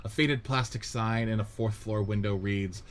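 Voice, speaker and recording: A man narrating the stage directions of a script reading in a steady, matter-of-fact way. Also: fairly low pitch, moderate pace.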